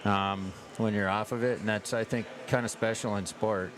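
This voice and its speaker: An American man hosting a livestream from a sports event in a casual, halting way, pausing often mid-sentence. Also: on the low side, steady tone. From United States. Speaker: livestream host at an ice hockey tournament. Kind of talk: casual live chat. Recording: clear urban ambient noise, live on location.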